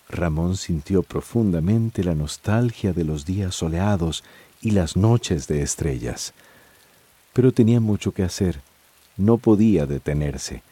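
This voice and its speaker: A man's voice: low voice, mellow, breathy edge, no room sound, full character voice. A man narrating a radio soap opera as a solemn storyteller in literary scripted prose.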